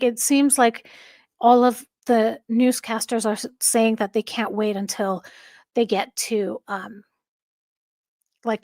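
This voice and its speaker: High-voiced woman, soft and calming, chatty livestream host, dry close sound. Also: dry recording. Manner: chatty, warm thanks to viewers, frequent fillers and light laughs. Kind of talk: casual chat reading viewer comments. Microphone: home streaming microphone.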